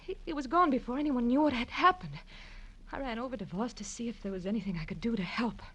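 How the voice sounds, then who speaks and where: Melodramatic, scheming radio femme fatale. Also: female voice, a little high, fast talker, a bit bright, slightly rough. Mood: anxious, confessing. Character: scheming young femme fatale, defensive, pleading and dramatic. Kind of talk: 1940s radio crime drama acting.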